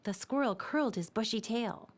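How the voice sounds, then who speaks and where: A woman narrating a scripted children's story in a simple, expressive storytelling manner. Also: fairly high pitch, normal speed.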